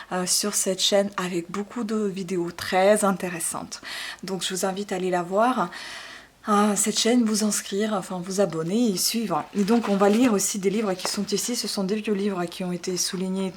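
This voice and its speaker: A woman's voice: mid-range voice, a little hiss or hum. A woman whose first language is not French, hosting her channel and casually presenting her storybook collection, with frequent fillers and self-corrections. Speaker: channel host.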